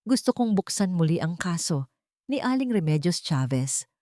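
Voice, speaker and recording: A woman with a Filipino accent voicing a wronged, coldly determined businesswoman in a dramatic story, measured and resolute. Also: on the low side, big pitch swings, mellow, no room sound, clean studio sound. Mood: commanding.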